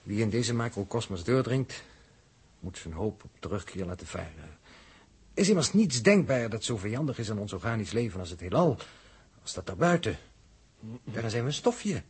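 A man acting a sober, resolute spaceship crew leader in a 1960s radio drama, speaking in a measured, firm way. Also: lowish voice, very animated. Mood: grave, resolute. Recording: noisy old radio recording.

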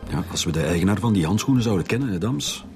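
A man's voice: sits low, animated, fast, darkish tone, faint music behind. A man with a Flemish accent playing a demanding, sceptical, pompous police director in a radio drama.

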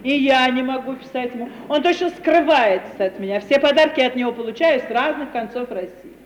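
An actress playing a sanctimonious, self-pitying middle-aged widowed landowner in an affected, theatrical radio comedy manner. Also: female voice, sits high, lively intonation. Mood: sentimental. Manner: theatrical, affected, drawn-out self-justifying speech. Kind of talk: 1950 Russian stage-comedy radio acting. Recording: echoey hall, noisy 1950 radio recording.

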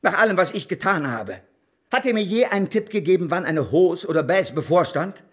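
Radio drama newspaper boss: husky voice, imperious, scheming, very animated intonation. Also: male voice, high voice, a little fast. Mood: indignant. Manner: imperious, declamatory. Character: irascible, domineering male newspaper boss and political schemer. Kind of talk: German radio drama acting.

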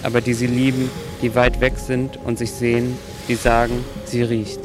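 A man's voice: mid-range voice, completely flat delivery, normal speed, over music, lightly acted. A man playing a reflective, fond figure, reciting literary lines in a radio play. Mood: wistful, fond.

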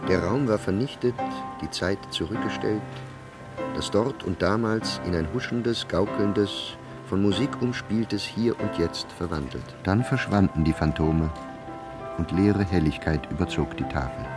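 A man narrating a literary radio drama in measured, formal storytelling. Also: deep voice, loud music behind the voice.

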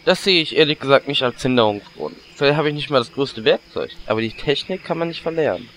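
A man's voice: mid-range voice, lively intonation, fast talker, fairly bright tone, a little hiss or hum, lightly acted. A man playing a smarmy, self-absorbed, mocking orc in a parody audio drama. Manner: smarmy, taunting.

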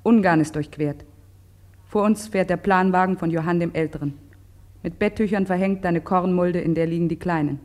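A woman's voice: lowish voice, darkish tone. Matter-of-fact woman reading a diary. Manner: terse, matter-of-fact, measured. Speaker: diary reader. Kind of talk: scripted radio drama narration, diary reading.

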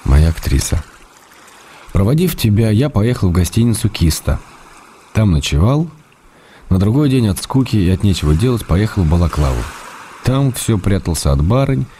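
An actor in a radio drama reading the letters of a lonely man writer, measured, wryly tender and melancholy. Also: low voice, dark tone, a little hiss or hum. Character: lonely man writer, wry and tender, missing his love.

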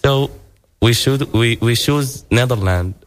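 A young man from Syria, a guest in an interview, speaking halting English as he searches for words. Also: medium pitch. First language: Arabic. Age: young adult. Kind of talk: casual interview.

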